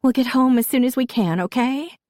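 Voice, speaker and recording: An overwhelmed mother voiced by a female narrator speaks high and tearfully. She sounds flustered and apologetic, on the verge of sobs, in a dry audiobook recording. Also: slow, a little mellow, no room sound. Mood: soothing, upset. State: on the verge of sobbing.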